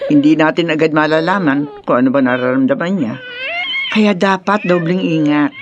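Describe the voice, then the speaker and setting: A woman's voice: deep voice, normal speed, lo-fi sound, background noise, lightly acted. An actress with a Filipino accent playing a concerned older mother and grandmother in a radio drama, advising and cautioning. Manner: admonishing, concerned.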